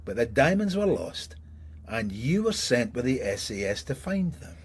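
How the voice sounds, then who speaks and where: An actor playing a sardonic middle-aged police detective in a radio crime drama, needling and teasing with dry sarcasm in a husky voice. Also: male voice, mid-range voice, big pitch swings, normal speed, exaggerated voice. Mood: probing.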